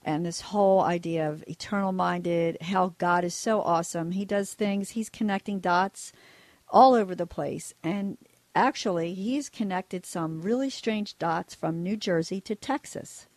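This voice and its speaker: Raspy-voiced woman radio host. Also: lowish voice, a little slow, no echo. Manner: warm, joking conversational hosting.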